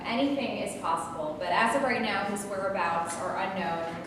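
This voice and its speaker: A woman's voice: mid-range voice, moderate pace, close to an everyday voice. A woman playing an earnest sports news correspondent in a staged reading of a play. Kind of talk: staged reading of a scripted broadcast. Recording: very echoey hall, distant mic, clear noise.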